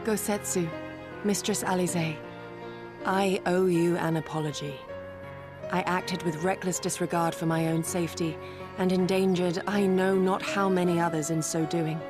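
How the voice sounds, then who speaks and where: An actress playing a solemn, dutiful young female warrior in a video game, speaking with formal, archaic, measured courtesy. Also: fairly low pitch, fairly even intonation, music in the background, close to an everyday voice. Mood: contrite. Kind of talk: English video game voice acting.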